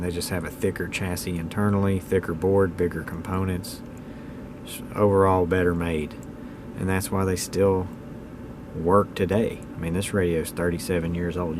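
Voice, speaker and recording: Man, thirties, low-pitched, little inflection, folksy and opinionated, casual unscripted delivery, noticeable room hum. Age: 30s. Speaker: hobbyist video presenter. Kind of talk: casual unscripted product talk. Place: indoors at home.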